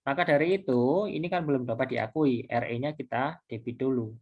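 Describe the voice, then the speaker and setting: Male lecturer, medium pitch. Conversational, halting tutorial delivery, circles back over points as he explains. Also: slightly rough, phone-quality sound. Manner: halting, repetitive, conversational, with self-posed questions. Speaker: accounting lecturer. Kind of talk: informal recorded tutorial, explaining step by step.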